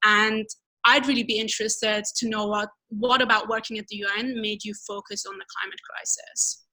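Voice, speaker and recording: Bright-voiced woman moderating a webinar. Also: medium pitch, steady tone, rough recording. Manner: polite, slightly halting with ums. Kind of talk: reading a bio and asking questions.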